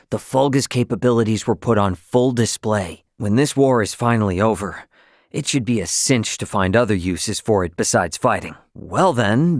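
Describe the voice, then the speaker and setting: Man acting as breezy, animated young space pilot. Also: mid-range voice, no room sound, studio quality, natural voice. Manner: breezy, slangy, informal delivery. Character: easygoing, cocky young male starship pilot and swordsman. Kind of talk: video game voice acting.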